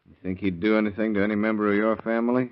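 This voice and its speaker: A man's voice: fairly low pitch, steady tone, clear, resonant tone, natural voice. A man playing a polite, hesitant police investigator questioning someone in a 1930s radio crime drama. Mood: careful. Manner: hesitant, courteous, measured questioning. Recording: dull lo-fi vintage radio recording.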